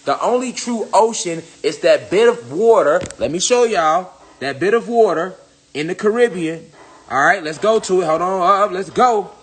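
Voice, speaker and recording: A man's voice: high-pitched, lively intonation, normal speed. A young man ranting casually to his viewers, preachy and profane, hammering his points with repetition. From United States. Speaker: spiritual commentator. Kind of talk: casual rant to camera.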